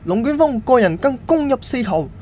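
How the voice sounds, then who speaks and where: A man playing an excitable campus radio football commentator with a Hong Kong Cantonese accent in an amateur radio drama. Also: extremely high pitch, moderate pace. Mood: excited. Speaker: sports commentator. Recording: loud music and crowd noise behind.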